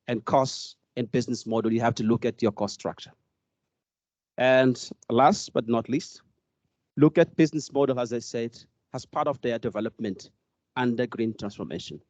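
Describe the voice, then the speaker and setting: A man from Mozambique with a Portuguese accent, asking a question from the floor at a workshop in halting speech with many fillers. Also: medium pitch, a little mellow, no echo. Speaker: workshop participant.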